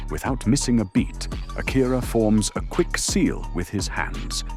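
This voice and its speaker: A man narrates an audio drama in a deep voice with a very animated melody. The delivery is scripted and dramatic, with faint music behind. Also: measured pace.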